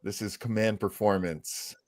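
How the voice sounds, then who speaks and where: A man's voice: fairly low pitch, steady tone, unhurried, a little mellow. A man hosting a casual live talk show, telling stories in a chatty, jokey, self-deprecating way. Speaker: host. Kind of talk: casual live show chat about old-time radio.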